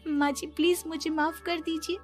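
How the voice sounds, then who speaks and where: A woman's voice: extremely high pitch, little pitch movement, moderate pace, darkish tone, faint music behind, voice pushed up. A woman voicing a meek, affectionate young daughter-in-law in a moral story cartoon, pleading and deferential. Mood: apologetic.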